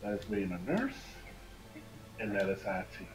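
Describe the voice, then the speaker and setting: A man's voice: fairly low pitch, big pitch swings, normal speed, music in the background. A man talking casually as a guest in a conversation on a stream. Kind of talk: casual chat.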